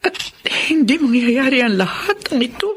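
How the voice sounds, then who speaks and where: A woman playing a remorseful older mother in a radio drama, speaking huskily in a heavy-hearted, halting way. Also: high-pitched, very animated, a little hiss or hum. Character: remorseful older mother blaming herself for family tragedy.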